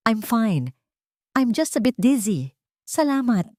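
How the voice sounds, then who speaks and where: A woman's voice: on the high side, sing-song, takes it slowly, warm tone, no room sound, clean studio sound, pitched above the performer's own voice. A woman with a Filipino accent voicing a weary, wounded wife in a dramatic story, faint and guarded. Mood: weak, guarded. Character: wounded, weary wife feigning a broken mind.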